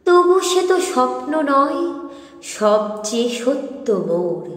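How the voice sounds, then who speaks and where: A woman reciting poetry expressively, in a measured, dramatic, lilting delivery with drawn-out phrases. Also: high-pitched, sing-song, soft background music. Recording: heavy echo, long hall reverb.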